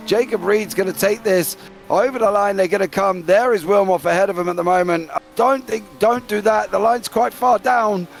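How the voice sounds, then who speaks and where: Gravelly excitable British race caller. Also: male voice, high-pitched, soft background music. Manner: excitable play-by-play, calling names fast. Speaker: race commentator. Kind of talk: live sim racing commentary.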